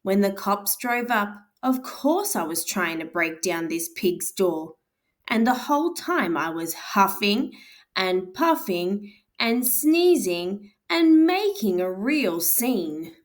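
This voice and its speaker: A woman's voice: medium pitch, very animated. A woman reading as the wronged wolf. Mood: aggrieved. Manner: storybook read-aloud, slow drawn-out words. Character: male wolf, self-pitying, claims innocence. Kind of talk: storybook narration. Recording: guitar music behind the voice.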